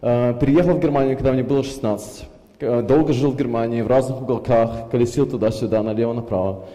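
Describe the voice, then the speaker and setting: A man in his thirties from Belarus, with an unusual accent from years in Germany, giving a lively conference talk on a headset mic with lots of fillers. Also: mid-range voice, quick pace. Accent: mixed, from Belarus and years in Germany. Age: 30s. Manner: lively, gestures a lot, many fillers. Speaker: conference speaker. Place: large conference hall with audience. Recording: reverberant hall.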